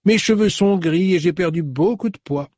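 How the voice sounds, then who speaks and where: A man voicing a lively, welcoming woman in her fifties in an audiobook. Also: high voice, moderate pace, dry recording, clean studio sound, pitched above the performer's own voice. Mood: chatty. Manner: effusive, welcoming.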